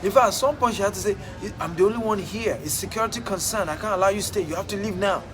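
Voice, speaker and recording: A man's voice: high-pitched, fast, over music. A man with a Nigerian accent giving a motivational talk, emphatic and repeating his phrases for effect. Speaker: motivational speaker.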